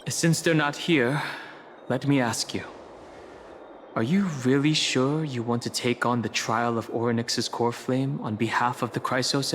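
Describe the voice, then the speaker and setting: Male actor as guarded companion, restrained, thoughtful, medium pitch, video game, background music. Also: a bit bright, natural voice. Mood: concerned. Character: calm, guarded, loyal male travelling companion.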